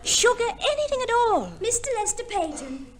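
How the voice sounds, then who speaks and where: An actress playing a vain, self-pitying young society wife in an old radio play, with an affected, gushing, dramatic delivery. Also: female voice, extremely high pitch, big pitch swings, normal speed, background noise. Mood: gushing, self-satisfied. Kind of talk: 1940s-50s radio play acting.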